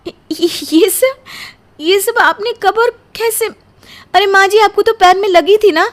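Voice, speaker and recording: Woman doing sweet young indian bahu for a cartoon story, earnest n grateful, really expressive. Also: very high voice, unhurried, voice pushed up. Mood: astonished. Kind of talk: animated Hindi moral story voice acting.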